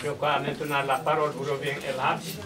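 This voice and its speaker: A man's voice: mid-range voice, little pitch movement, crowd noise. Formal man hosting a memorial ceremony. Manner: formal, measured, courteous. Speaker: master of ceremonies. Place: community hall. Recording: distant mic, clear room noise.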